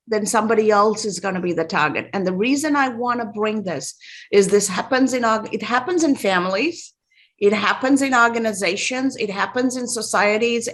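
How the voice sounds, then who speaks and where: A woman's voice: mid-range voice, a bit bright. Reflective woman hosting a podcast. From Sri Lanka. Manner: empathetic, measured and reflective. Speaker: podcast host. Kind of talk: interview conversation.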